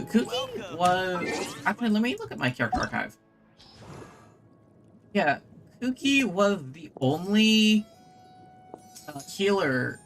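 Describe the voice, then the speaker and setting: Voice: medium pitch, vocal fry, fairly bright tone. A streamer chatting casually and enthusiastically to viewers while playing a game, in a rambling way. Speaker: streamer and host. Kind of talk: casual livestream gaming chat.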